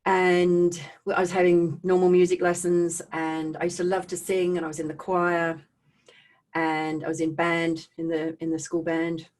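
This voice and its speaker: A middle-aged woman with an Australian accent giving a personal talk to online viewers in a conversational way, with animated asides and checking in with her listeners. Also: fairly low pitch, normal speed. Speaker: speaker hosting a live online talk on trauma recovery.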